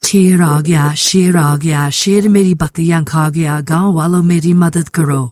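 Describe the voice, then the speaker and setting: A man voicing a mischievous young shepherd boy in a cartoon, shouting frantically for help. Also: a little high, steady tone, voice pushed up, close to an everyday voice. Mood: mock alarm.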